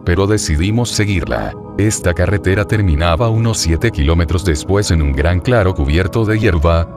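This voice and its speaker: Low-voiced man narrating horror over music. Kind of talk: scripted first-person horror narration. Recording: music bed under the voice. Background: background music.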